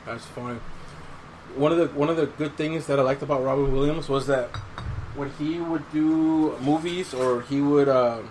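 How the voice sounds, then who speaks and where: A man's voice: mid-range voice, steady tone, normal speed, a little mellow, a little hiss or hum, rough recording. A man chatting casually as a podcast co-host, repeating phrases for emphasis. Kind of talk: casual chat.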